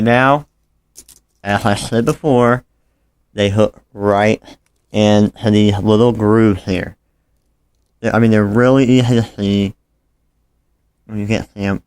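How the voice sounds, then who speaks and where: Guy with a lowish voice doing a casual phone fix tutorial, kinda apologetic, talking while he works, dry sound. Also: clean tone, no echo. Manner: informal, apologetic, talking while working with his hands. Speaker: phone repair tutorial host. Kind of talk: casual hands-on how-to narration.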